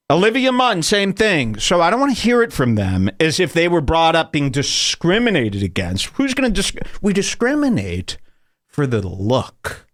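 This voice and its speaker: A man's voice: fairly high pitch, very animated, a little slow, a bit bright, no echo, clean studio sound. A man hosting a podcast, ranting in a loose, provocative comedic way and laughing at his own jokes. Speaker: podcast host. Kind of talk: casual comedic rant.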